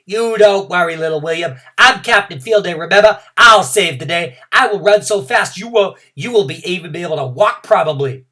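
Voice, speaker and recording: A woman voicing a bouncy, bossy kindergarten girl who calls herself captain, talking loudly and boastfully. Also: low-pitched, sing-song, slow, recorded from a distance, heavily acted. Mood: reassuring. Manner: exuberant, loud, boastful.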